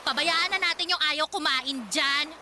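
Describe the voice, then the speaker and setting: A woman's voice: high voice, a little slow, slight background noise, exaggerated voice. An actress playing a brash middle-aged mother in a Tagalog radio drama, scolding and sarcastic in defence of her younger boyfriend. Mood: sarcastic, dismissive. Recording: loud music bed behind the dialogue.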